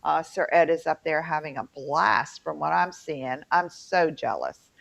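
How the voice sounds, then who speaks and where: A woman's voice: sits low, expressive, unhurried, vocal fry, a bit bright. A middle-aged American woman with a twang, chatting casually and jokingly as a folksy co-host of a talk show. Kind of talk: casual chat. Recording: dull lo-fi sound.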